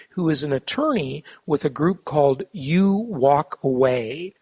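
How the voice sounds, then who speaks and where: Hoarse man hosting with a cold. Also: fairly high pitch, no echo. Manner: chatty, promotional, conversational. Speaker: podcast host. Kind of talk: solo show intro and announcements.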